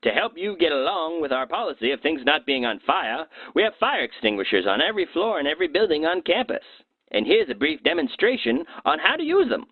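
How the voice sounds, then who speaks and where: A man's voice: a little high, very animated, brisk, no room sound. A man presenting scripted safety narration in a tongue-in-cheek, mock-serious way. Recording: muffled, phone-like narrow band.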